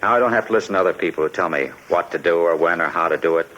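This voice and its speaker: Fast, desperate, haunted fugitive. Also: male voice, low-pitched, fairly bright tone. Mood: menacing. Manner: distrustful, anguished, halting repetition under strain. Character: desperate, haunted male immigrant former prison-camp escapee holding a gun. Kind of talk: 1950s radio crime drama acting. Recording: muffled, noisy 1950s radio recording.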